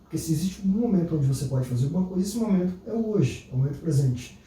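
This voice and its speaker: A Brazilian man co-hosting a podcast, calmly reflecting on what his partner says. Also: on the high side, fast talker. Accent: Brazilian Portuguese. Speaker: podcast co-host. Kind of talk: casual conversational commentary. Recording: echoey room, distant mic, faint noise.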